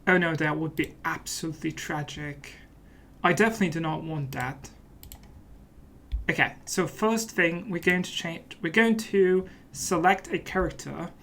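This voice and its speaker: Higher-voiced man giving playful game commentary. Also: fairly even intonation, moderate pace, a bit bright, slight background noise. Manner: playful, mock-serious. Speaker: let's play host. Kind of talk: casual gameplay commentary.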